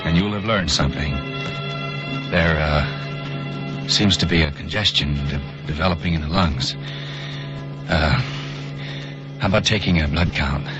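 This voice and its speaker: A calm, stoic dying doctor. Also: male voice, extremely low pitch, a little slow, pauses often. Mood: detached. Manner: clinical, dry, detached about his own illness. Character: dying doctor who infected himself, calm stubborn man. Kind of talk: 1940s radio acting. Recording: muffled old radio recording with music.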